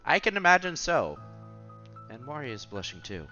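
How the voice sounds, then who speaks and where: A man's voice: medium pitch, very animated, moderate pace, sharp tone, highs cut off, soft background music. A man reading visual novel narration aloud as a streamer, expressively, with casual asides and exclamations.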